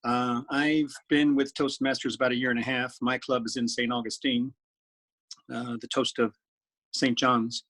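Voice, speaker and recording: A man with a husky, slightly breathy voice at a middle pitch. He speaks in a relaxed, plain-spoken way, introducing himself as a club guest over a video call. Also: moderate pace.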